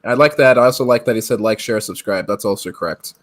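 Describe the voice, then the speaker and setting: A man's voice: lowish voice, fast talker. Laughing man co-hosting a live stream. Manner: laughs often, reads chat aloud. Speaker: podcast co-host. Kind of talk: casual live-stream chat. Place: home room, on video call.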